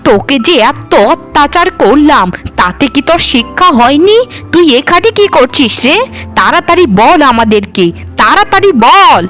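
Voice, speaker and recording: A woman's voice: sits high, sing-song, moderate pace, a bit bright, soft background music. A woman voicing a spiteful, scolding middle-aged village mother in a cartoon, scornful and exaggerated. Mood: scolding, outraged. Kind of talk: Bengali cartoon voice acting.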